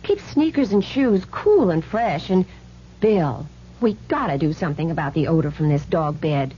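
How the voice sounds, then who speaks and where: A woman's voice: low voice, sing-song, normal speed, dark tone, husky, exaggerated voice. An actress playing a busy, cheerful mother keeping house in a seventies radio commercial. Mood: brisk. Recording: noisy, dull 1970s radio broadcast.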